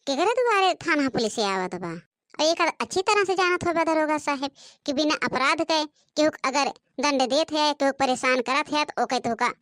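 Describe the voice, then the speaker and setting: A woman as a high-pitched, feisty Awadhi villager. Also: a bit bright, no echo, clean studio sound. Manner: scolding, argumentative. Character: sharp-tongued, defiant village household members, old woman and boy. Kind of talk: comic cartoon dialogue.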